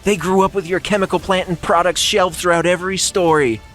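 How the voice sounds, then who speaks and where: A man's voice: high voice, fairly even intonation, a little fast, a bit bright, soft background music. A man voicing a chirpy female TV news interviewer in a comic script reading, with a sugary, gushing manner.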